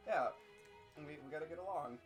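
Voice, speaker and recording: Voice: medium pitch, normal speed, faint music behind. A guest commentator in casual comic banter, joking and swearing with a wry manner.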